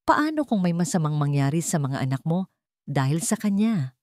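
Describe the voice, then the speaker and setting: A woman's voice: low-pitched, big pitch swings, moderate pace, a little mellow, no room sound, studio quality. Woman voicing a haughty, accusing rich older matriarch. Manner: accusing, commanding.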